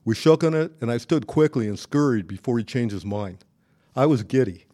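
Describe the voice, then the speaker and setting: A man with an American accent narrating scripted personal stories in a measured, articulate, audiobook-like way. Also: mid-range voice, lively intonation, dark tone. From Los Angeles, United States.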